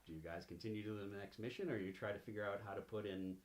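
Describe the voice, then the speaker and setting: Halting low-voiced man running a tabletop game. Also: steady tone, reverberant, slight background noise. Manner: halting, improvising as he goes. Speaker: game master. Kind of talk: casual tabletop game narration.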